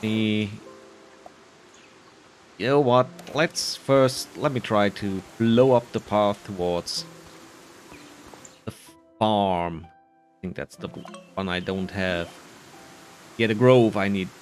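A man's voice: lowish voice, steady tone, slow pace, pauses often. A man giving casual, rambling commentary on his own gameplay, with muttered, self-deprecating asides. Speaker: gamer narrating his playthrough. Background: faint game music.

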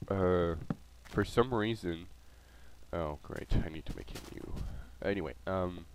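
A man's voice: deep voice, a little hiss or hum. A man with a cold and a nasal voice, hosting a gameplay video and chatting casually with many ums and uhs.

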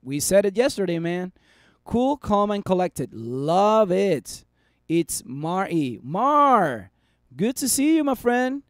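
A man in his thirties hosts a live market stream from a small room at his desk, chatty and upbeat, reading out viewer comments. His voice sits high and sounds a little worn from talking so much. The sound is dry and close, with no room echo. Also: very animated, slow pace. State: losing his voice. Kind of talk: live stream chart commentary and chat replies.

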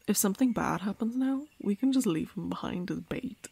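A woman plays a sly female warrior in an audio roleplay. Her husky voice crackles with fry as she taunts sardonically, recorded very dry. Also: medium pitch, lively intonation, fairly quick, warm tone, no echo. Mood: sardonic. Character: sly, mocking female warrior squad member.

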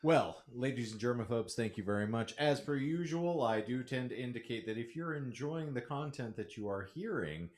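A man's voice: mid-range voice, lively intonation, normal speed, hardly any pauses. A man hosting a casual podcast in a wordy, theatrical, playful manner. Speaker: podcast host. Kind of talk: casual podcast banter with florid sign-offs. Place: small home room with couch, indoors. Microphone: podcast mic on a stand. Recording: roomy, echoey living-room sound.

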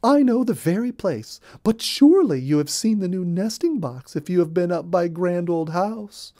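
Man putting on a high, chatty lady rabbit. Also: animated, dark tone. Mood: eager, helpful. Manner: fast, breathless chatter. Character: chatty, gossipy female cottontail rabbit, busybody neighbor.

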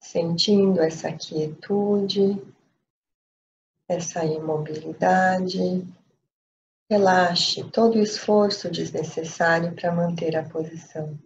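A woman with a Brazilian accent leading a guided meditation in a calm, unhurried way with pauses. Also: lowish voice, gravelly. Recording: dull lo-fi audio, faint noise.

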